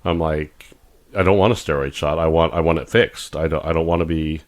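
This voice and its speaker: A middle-aged man talks in a very deep, low voice. He chats casually as a podcast co-host, rambling along and tripping over his words. He gets animated when he imitates doctors in his stories. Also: brisk. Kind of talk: casual chat.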